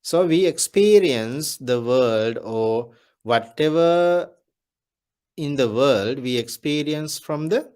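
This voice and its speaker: A Buddhist monk giving a Dhamma talk, speaking deliberately and repeating his key phrases. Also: mid-range voice, lively intonation, darkish tone, clear, resonant tone.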